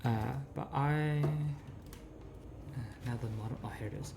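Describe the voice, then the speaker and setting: A man's voice: medium pitch, slow, vocal fry. A man with a scratchy voice chatting casually with viewers while painting miniatures, rambling and answering their messages. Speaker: streamer.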